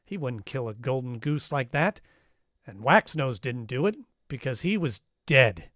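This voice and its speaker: An actor playing a wry, tough private detective, narrating and questioning in an old-time radio crime drama. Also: male voice, mid-range voice, animated, a little slow, a bit bright, no room sound. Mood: sardonic, accusing. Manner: wry, matter-of-fact.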